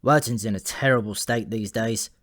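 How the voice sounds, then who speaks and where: A man's voice: on the low side, moderate pace, a bit bright, no room sound, clean studio sound, lightly acted. A man voicing an earnest, slightly wounded male raven with a defensive, chatty manner, in a comic fantasy audiobook.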